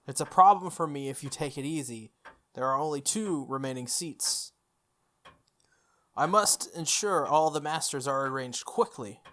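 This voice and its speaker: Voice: mid-range voice, expressive, moderate pace, a bit bright, natural voice. A game streamer putting on a stern, clinical priest. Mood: cold, commanding. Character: stern, clinical priest giving curt warnings.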